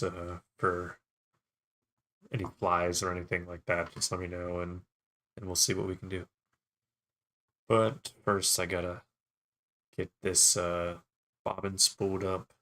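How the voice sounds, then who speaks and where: A man hosting a casual fly tying livestream, a little raspy from yelling, chatting easily while setting up his gear. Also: sits low.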